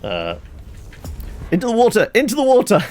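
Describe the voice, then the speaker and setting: A man narrating his own gameplay in a casual, excitable way, his pitch leaping all over the place. He curses and chuckles at every mishap. Faint music plays underneath. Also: normal speed. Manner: excitable, swears and laughs at mishaps. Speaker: let's play host. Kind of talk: gameplay commentary.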